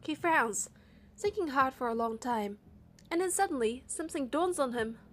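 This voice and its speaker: A woman's voice: sits high, animated, normal speed. A woman narrating a game playthrough as a first-person story, reading out the text and dialogue.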